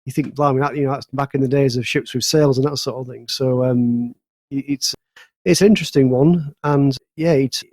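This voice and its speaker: A man's voice: mid-range voice, moderate pace, a little mellow, no echo. Man, British accent, mid-range voice, casual podcast panelist, explanatory and rambling, dry recording. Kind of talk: casual discussion of news.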